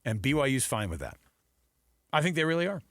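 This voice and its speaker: A man's voice: medium pitch, very animated, brisk, a bit bright, no room sound, clean studio sound. An American man co-hosting sports talk radio in a casual, conversational way with rhetorical asides.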